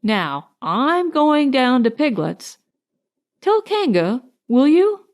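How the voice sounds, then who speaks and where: A woman acts out a cheerful little storybook bear, male and simple-minded. He talks slowly and eagerly in a high voice, bumbling over his words with innocent good nature. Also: sing-song, heavily acted. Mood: eager, muddled.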